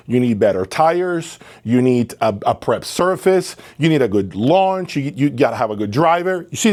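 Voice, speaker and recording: A man's voice: fairly high pitch, a little mellow. A man hosting a product review in casual talk to camera, speaking emphatically and repeating phrases for stress. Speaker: host of an audio equipment review channel.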